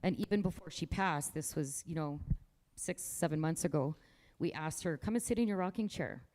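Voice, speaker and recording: A woman's voice: sits low, normal speed, a little mellow. A woman artist from rural Manitoba talking casually about her work in an interview, outspoken and proud, with frequent restarts. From rural Manitoba, Canada.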